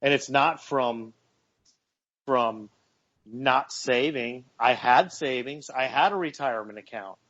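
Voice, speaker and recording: A man in his forties talks on a casual radio show at an average pitch, earnest and often doubling his words as he builds a point. The audio is a bit dull and lo-fi. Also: slow, fairly bright tone. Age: 40s. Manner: earnest, repeats words while gathering thoughts. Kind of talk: casual talk radio chat.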